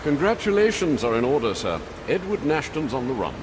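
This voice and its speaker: An actor playing a loyal manservant in a video game, formal and dry, with a concerned, measured delivery. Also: male voice, medium pitch, very animated, noisy background, natural voice. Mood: dry, informative.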